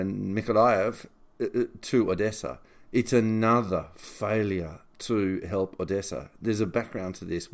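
A man with a fairly low voice delivers semi-scripted commentary in the role of a podcast host. His phrasing is deliberate and somewhat halting, with words repeated as he works through each point. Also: darkish tone.

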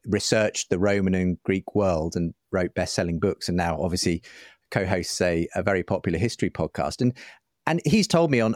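A man with a low-pitched voice talks as a guest in a thoughtful interview. He is articulate and discursive, explaining at length in a conversational way, and the sound is close and dry. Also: dry recording. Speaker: interview guest, writer and broadcaster.

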